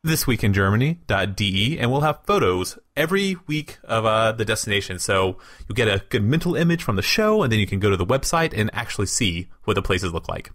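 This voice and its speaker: A man's voice: on the low side, very animated, a little fast, few pauses, a bit bright. A man co-hosting a podcast, presenting in an upbeat, enthusiastic, casual way. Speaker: podcast co-host. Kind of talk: casual chat.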